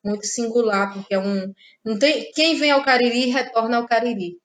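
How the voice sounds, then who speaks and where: A woman from Ceará, a playwright speaking as a guest in a relaxed interview, laughing often and trailing off in pauses. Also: a little high. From Ceará, Brazil. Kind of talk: casual interview chat.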